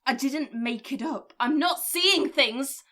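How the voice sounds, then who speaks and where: A woman's voice: high voice, slow, bright, forceful tone, full character voice. An actress playing a frightened, desperate mother in an audio drama, with a panicky, pleading manner. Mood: frightened, defensive. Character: frantic, frightened mother desperate for her missing son.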